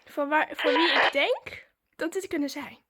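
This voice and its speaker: A woman. She talks like a vlogger, in casual, excited chat aimed at her viewers. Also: high voice, measured pace.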